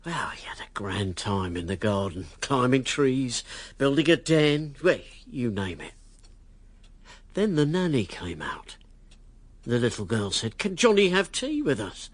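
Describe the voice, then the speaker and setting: A man's voice: medium pitch, husky, no room sound. An actor playing a blunt, resentful working-class male politician in a radio drama. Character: John Gabriel, brash working-class politician, bitter about class.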